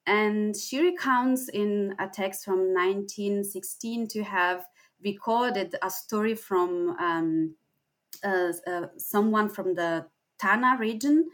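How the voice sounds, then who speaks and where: Woman, academic panelist, halting, frequent fillers, measured explaining. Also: medium pitch. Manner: hesitant, many fillers and restarts while explaining. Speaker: panelist, translation researcher.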